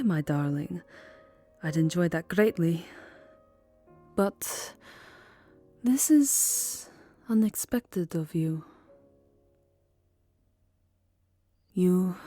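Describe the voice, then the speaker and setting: A woman playing a lovelorn, melancholy vampire countess in an ASMR roleplay, speaking softly, formally and hesitantly, often near a whisper. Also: fairly low pitch, no echo. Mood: tender, wistful. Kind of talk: ASMR roleplay voice acting, second-person romance.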